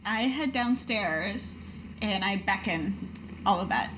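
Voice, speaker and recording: A woman's voice: mid-range voice, lively intonation, slow, a bit bright, low-quality recording. A woman playing a tabletop roleplaying game, improvising casual talk in character. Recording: noticeable background noise.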